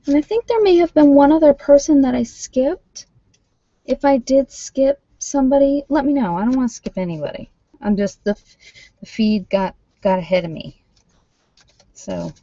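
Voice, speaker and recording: A woman chatting casually as a livestream host reading cards, thinking aloud in an informal, halting way, on dull lo-fi audio. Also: sits high, expressive, dark tone, no echo. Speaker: livestream host doing tarot card readings. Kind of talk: casual live chat with viewers, card reading. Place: at home, indoors.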